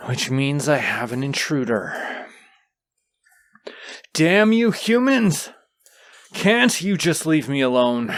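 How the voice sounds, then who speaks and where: Proud, condescending dragon guarding its hoard, played by a man, mid-pitched, very slow theatrical delivery, fantasy audio drama. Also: clear, resonant tone. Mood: suspicious, then angry, threatening. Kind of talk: fantasy audio roleplay acting.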